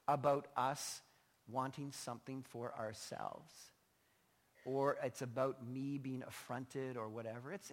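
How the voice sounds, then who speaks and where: A man preaching a sermon as a pastor, emphatic yet informal and conversational, his voice slightly strained. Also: mid-range voice. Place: large indoor church hall. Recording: echoey large hall, long reverb.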